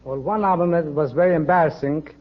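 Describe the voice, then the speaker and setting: A man with a Persian accent speaks in a slightly high voice, answering questions politely and formally. He sounds like a quiz contestant telling his own stories, on a muffled vintage radio recording. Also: a little fast. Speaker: quiz show contestant, professional wrestler. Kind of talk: polite interview answers and anecdotes. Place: radio studio stage with audience.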